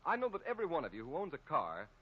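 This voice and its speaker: A high-voiced, animated radio pitchman of the 1930s. He sells earnestly and emphatically, on a dull vintage broadcast recording. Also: very fast, slightly rough, exaggerated voice. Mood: earnest selling. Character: emphatic, earnest male tire-advertisement radio announcer. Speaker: announcer.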